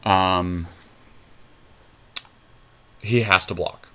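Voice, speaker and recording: A man co-hosting a gaming podcast, talking casually and deliberately as he works out strategy aloud during a card game. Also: low voice, steady tone, sharp tone, clear, resonant tone. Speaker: podcast co-host commentating on own card game play.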